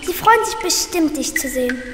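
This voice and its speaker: A woman voicing an eager, helpful boy detective in a children's radio play, excited and encouraging. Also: sits high, moderate pace, roomy sound, big-room sound, a little hiss or hum.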